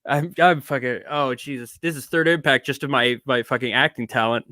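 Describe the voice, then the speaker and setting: A man's voice: mid-range voice, brisk, sharp tone, dry recording, clean studio sound. A drunk man hosting a casual livestream, reacting to what he reads aloud with amused, profane, unguarded asides. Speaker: livestream host.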